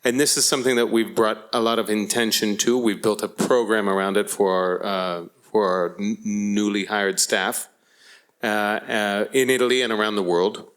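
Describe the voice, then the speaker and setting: A middle-aged American man speaking as a guest on a panel, choosing his words deliberately with halting phrasing. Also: fairly low pitch, steady tone, a bit bright, smooth. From Florida, United States. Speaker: panel guest, company executive. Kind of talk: panel discussion, explanatory.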